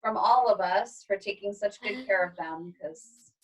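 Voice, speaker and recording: A woman's voice: mid-range voice, a little slow. A woman teacher hosting an online class read-aloud, talking casually to her group over a video call. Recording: distant microphone on video call.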